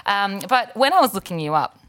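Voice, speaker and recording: A woman's voice: mid-range voice, sing-song, brisk, fairly bright tone, clear, resonant tone. A woman from South Australia with a South Australian accent, hosting a casual chat podcast, bubbly and teasing, laughing often. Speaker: podcast host.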